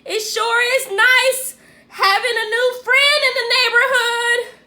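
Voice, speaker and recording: A woman's voice: extremely high pitch, steady tone, slow, bright, forceful tone, echoey room, pitched above the performer's own voice, full character voice. A woman voicing an earnest, anxious young boy in a children's storybook, pleading and exclaiming.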